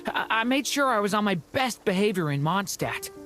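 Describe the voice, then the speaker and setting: A woman voicing an eager, earnest young boy in a video game, inquisitive and excitable in manner. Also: mid-range voice, moderate pace, faint music behind. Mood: eager, curious. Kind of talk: scripted video game voice acting.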